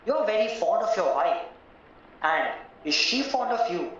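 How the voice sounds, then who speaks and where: A man acting in an audio play as a cautious, polite solicitor who asks careful, formal questions with gentle doubt. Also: high-pitched, big pitch swings, measured pace, slight background noise, heavily acted. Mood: measured, probing. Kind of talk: stage-style audio drama acting. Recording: very echoey, muffled, distant, music underneath.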